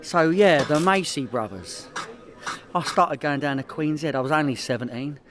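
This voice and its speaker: Guy acting cocky london petty crook, kinda high voice, matey bragging, radio comedy. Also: normal speed, crowd noise, lightly acted. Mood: boastful. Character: boastful, hopeless petty criminal spinning tall tales.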